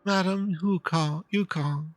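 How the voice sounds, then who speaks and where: A man's voice: high-pitched, slow, no echo, faint music behind, voice pushed up. A man voicing a gracious, formal and wistful woman in a dramatic reading of game dialogue. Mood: polite, composed. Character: gracious, wistful adult woman, a dignified mother.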